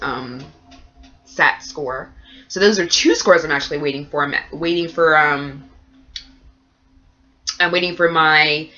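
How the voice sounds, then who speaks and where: A woman with a cold talks in a chatty, rambling way, her voice nasal and stuffed up. Her tone is low-pitched yet bright and forceful. The recording sounds dull and lo-fi. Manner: chatty, rambling with many fillers. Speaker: nursing student vlogger. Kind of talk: casual vlog update.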